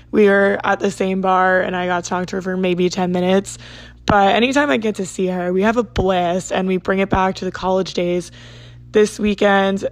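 A woman in her twenties from South Jersey, hosting a podcast in a rambling, chatty monologue, her voice hoarse and raspy. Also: mid-range voice. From South Jersey, United States. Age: 20s. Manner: rambling, lots of "like" and asides. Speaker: podcast host.

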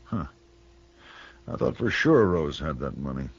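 An American man playing a grave, courteous gunfighter for hire in a radio western, speaking in a measured, brooding way. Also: extremely low pitch, big pitch swings, gravelly, slightly rough, heavily acted. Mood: businesslike. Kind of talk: 1950s radio western acting. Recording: muffled 1960 radio broadcast.

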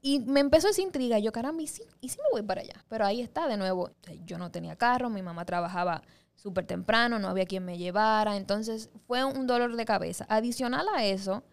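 A woman's voice: on the high side, fast talker, clear, resonant tone. Dominican woman with Puerto Rican-tinged accent. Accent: Dominican Spanish mixed with Puerto Rican. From Dominican Republic. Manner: earnest storytelling, emphatic. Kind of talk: casual conversation.

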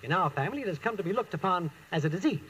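An actor playing a genially pompous, broke small-time schemer in an old radio sitcom, with mock-formal patter. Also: male voice, high voice, expressive, rapid-fire. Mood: courtly, pompous. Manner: smooth-talking, mock-formal. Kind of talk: 1940s-50s radio sitcom acting. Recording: old radio recording with faint noise.

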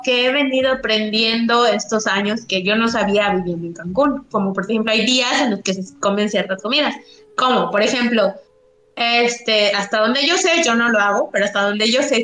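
A woman's voice: fairly high pitch, a bit bright, echoey room, rough recording. A woman from Yucatán being interviewed, talking casually and rambling with many restarts and fillers. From Mexico, Yucatán.

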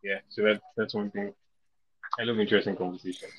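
A man's voice: on the low side, level tone, quick pace. Young man guest with a head cold, from Nigeria, loose jokey delivery, muffled lo-fi sound. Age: young adult. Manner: joking, loose, self-mocking. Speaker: podcast guest. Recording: dull lo-fi audio.

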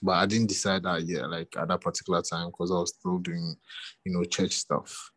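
Young ghanaian guy, deep monotone voice, dry close sound, talks easy with lots of "like". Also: moderate pace, no room sound. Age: 20s. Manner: relaxed, repeats words and says "like" often. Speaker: musician guest. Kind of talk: casual interview chat.

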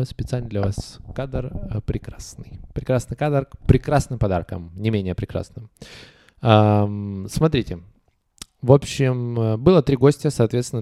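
A man's voice: medium pitch, mellow, no echo, clean studio sound. Playful Russian-speaking male podcast host. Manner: playful, mock-grand, reads out notes with asides. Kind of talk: casual comedy podcast announcement.

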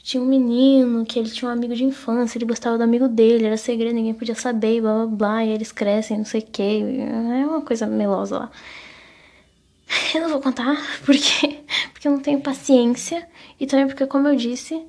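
A woman's voice: on the high side, steady tone, a little fast, a little mellow. A young woman with a Brazilian accent chatting casually and confessionally as a solo podcast host, rambling self-consciously and stumbling over her words.

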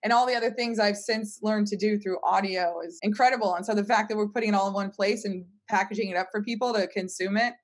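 A woman's voice: medium pitch, fairly even intonation, quick pace, hardly any pauses. A woman guest answering in a casual interview, explaining things in an emphatic, conversational way. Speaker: podcast guest, company team member.

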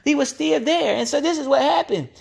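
A woman's voice: high voice, lively intonation, a little fast, creaky, darkish tone. A woman preacher delivering an impassioned, off-the-cuff sermon, repeating phrases emphatically to build up her point.

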